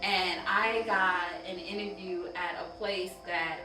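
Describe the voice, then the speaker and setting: A woman's voice: fairly low pitch, fairly even intonation, slow. Woman, thoughtful casual talker, roomy far-off sound with music. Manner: reflective, thoughtful, laughs easily. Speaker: doctoral-trained participant in a conversation. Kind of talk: casual conversation. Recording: echoey room, distant mic, music behind.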